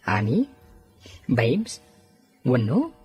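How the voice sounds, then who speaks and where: Man acting a good-natured Filipino husband, medium pitch. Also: wildly swooping pitch, drawn-out words, a little mellow, faint music behind, full character voice. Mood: bantering. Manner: casual, bantering. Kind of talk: Filipino audio drama acting.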